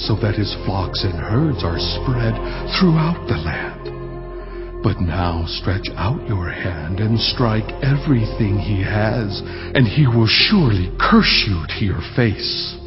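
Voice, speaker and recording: A man voicing Satan as a sly accuser in a dramatized scripture reading, speaking slowly and deliberately. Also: lowish voice, lively intonation. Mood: challenging. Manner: slow, deliberate, challenging. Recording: dull lo-fi recording. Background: cinematic music.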